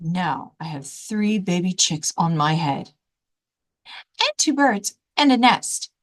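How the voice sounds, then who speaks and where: A fussy, worried male elephant from a picture book, played by a woman. He frets and questions everything in disbelief. His pitch swings widely, dipping and leaping as he complains. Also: very animated, slow. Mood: exasperated. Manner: fretful, incredulous questioning.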